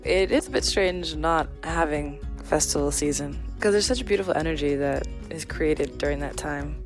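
Punk singer woman, really low voice, chatty interview, music faint under it. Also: moderate pace. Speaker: punk band frontwoman, guest. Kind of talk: casual interview.

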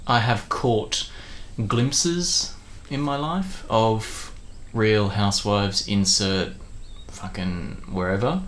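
A man's voice: fairly low pitch, slow pace, fairly bright tone, slight background noise. A middle-aged man co-hosting a podcast in casual banter with a friend, blunt and given to swearing. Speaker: podcast co-host. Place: home study.

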